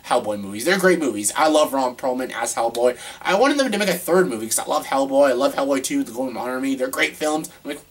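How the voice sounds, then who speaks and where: Congested man rambling excitedly. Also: medium pitch, fast talker, few pauses, breathy edge. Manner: excitable, rambling, repeats himself. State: congested with a cold. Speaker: vlogger ranking films. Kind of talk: casual top-ten video monologue.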